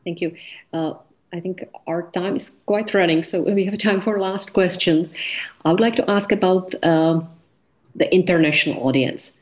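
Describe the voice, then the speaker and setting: A woman with a distinctly low-pitched voice hosts a formal interview. She is courteous and measured, working through prepared questions for her guest.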